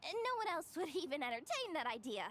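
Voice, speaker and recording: Brisk high-pitched young guild woman. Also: sing-song, fairly bright tone, a little hiss or hum, heavily acted. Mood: scornful, teasing. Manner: casual, teasing, hesitant asides. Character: brisk, money-minded young guild woman, secretly kind. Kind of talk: animated game voice acting. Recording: background music under the voice.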